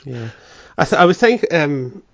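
A man co-hosting a football podcast in a halting, filler-heavy chat. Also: on the high side, sing-song, creaky, no room sound. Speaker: podcast co-host. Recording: dry, dull lo-fi sound.

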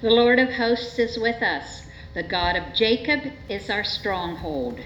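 A woman gives a formal scripture reading in a measured, solemn manner at a church service. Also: mid-range voice, expressive, fairly bright tone. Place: indoors in a church. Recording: echoey church, dull sound, faint noise.

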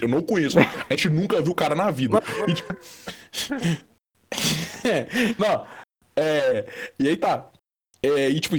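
Young Brazilian guy, fast rambling storyteller, slightly higher voice. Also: husky. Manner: rambling, many fillers and restarts. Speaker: storyteller guest. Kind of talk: casual chat, telling an anecdote.

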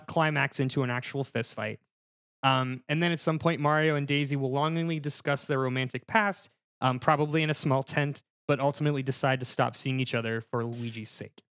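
Man narrating a comedic movie pitch, dry close sound. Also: a bit bright, dry recording. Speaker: podcast panelist pitching a movie. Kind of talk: casual comedic story pitch.

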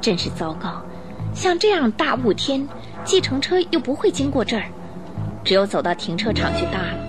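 A woman narrating a radio drama in an expressive, scripted storytelling style. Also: on the high side, normal speed, music in the background.

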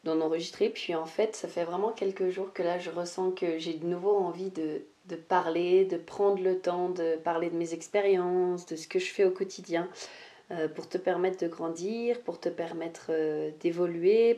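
A young woman hosting a solo podcast, talking without notes and often pausing with fillers and restarts. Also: on the low side, warm tone. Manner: hesitant, many "euh" fillers and restarts. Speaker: podcast host, life mentor. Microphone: podcast microphone.

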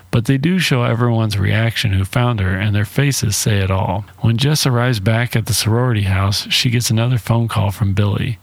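A man's voice: fairly low pitch, vocal fry, no room sound. A man narrating a casual, profane movie recap in an irreverent, slangy and wry way. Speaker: film reviewer and narrator.

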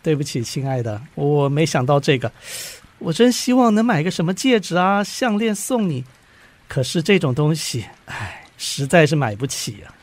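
An actor playing an eager, doting husband, a modest clerk in a radio drama, with an earnest, easily flustered manner. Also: male voice, fairly high pitch, normal speed. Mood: apologetic. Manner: earnest, animated, stammering when flustered.

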